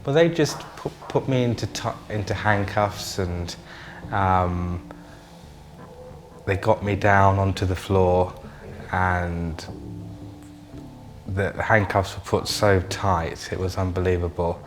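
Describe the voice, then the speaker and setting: A man recalling his experiences in an interview in a plain, halting way. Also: sits low, fairly even intonation, soft background music. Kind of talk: interview testimony.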